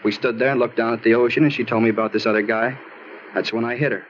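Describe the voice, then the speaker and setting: An actor playing a moody American murder suspect, insistent and naively self-justifying, in a 1950s radio drama style. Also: male voice, medium pitch, fairly even intonation, fast talker, close to an everyday voice. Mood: eager, dazed. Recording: muffled noisy old radio recording.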